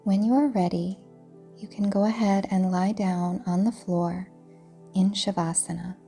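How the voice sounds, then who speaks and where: Soft breathy woman doing guided meditation, really slow n soothing, faint music behind her. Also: mid-range voice, steady tone. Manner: soft, breathy, soothing, deliberate delivery with pauses. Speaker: yoga nidra teacher guiding a practice.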